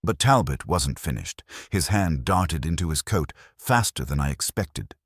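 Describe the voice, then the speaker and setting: A man delivers dramatic scripted narration for a detective tale. His voice is extremely low and slightly rough, with lively intonation, and the recording is dry and close. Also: measured pace, no room sound.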